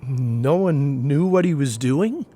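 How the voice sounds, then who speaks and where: A man's voice: mid-range voice, lively intonation, takes it slowly, warm tone. An actor playing an attentive, considerate male confidant in an audio play, with a naturalistic, musing, conversational delivery. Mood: gently probing. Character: attentive male confidant, gently probing and apologetic.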